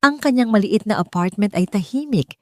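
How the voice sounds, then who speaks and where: A woman narrating an audio drama in a measured, dramatic storytelling manner. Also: medium pitch, lively intonation, a little mellow, no room sound, studio quality.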